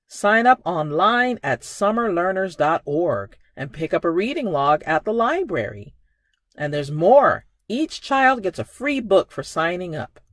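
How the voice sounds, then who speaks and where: A woman hosting a preschool storytime, speaking in simple, expressive phrases for young children. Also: mid-range voice, dry recording. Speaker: children's librarian and storytime host. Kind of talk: preschool storytime reading and narration.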